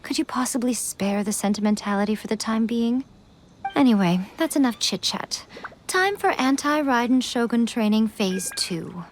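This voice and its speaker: A woman playing an elegant, shrewd fox spirit in a video game, teasing and coy, with measured, formal phrasing. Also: on the high side, animated, a little hiss or hum, natural voice. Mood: dry, composed. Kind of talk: scripted video game voice acting. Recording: background music under the voice. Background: game soundtrack music.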